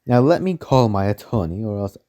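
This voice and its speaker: A man's voice: lowish voice, expressive, fairly quick, mellow, natural voice. A man playing a smug, arrogant, menacing rich mansion owner with a haughty, sneering delivery in an amateur radio play. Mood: indignant.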